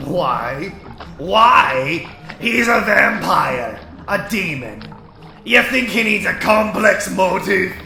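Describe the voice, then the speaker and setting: A gruff, bitter older male vampire hunter in a fantasy audio drama. The voice is bright and gravelly, with slow, emphatic rustic speech full of scorn, over music. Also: high-pitched, sing-song, full character voice. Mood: bitter, angry. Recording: echoey, music underneath.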